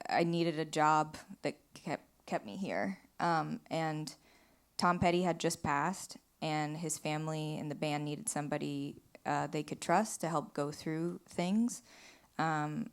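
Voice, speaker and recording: A woman's voice: low-pitched, clear, resonant tone. A woman film director speaking casually on a live panel, telling stories in a rambling, conversational way. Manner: rambling storytelling, frequent "like" and "you know". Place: small theater, live panel.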